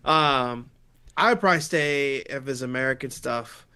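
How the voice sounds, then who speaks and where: An excitable man in his late twenties with a bright, forceful voice at an average pitch. He chats about films as a podcast guest, full of slang and repeated "I, I, I" starts. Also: normal speed, clear, resonant tone. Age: 20s. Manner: enthusiastic, stammering, slangy. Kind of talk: casual film discussion.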